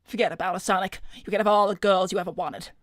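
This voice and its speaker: A woman's voice: mid-range voice, steady tone, fast, dry recording, studio quality, close to an everyday voice. A woman voicing a seductive, manipulative talent-scout villainess, theatrical, coaxing and condescending, in an improvised cartoon roleplay. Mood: flirtatious, persuasive. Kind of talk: improvised in-character livestream roleplay.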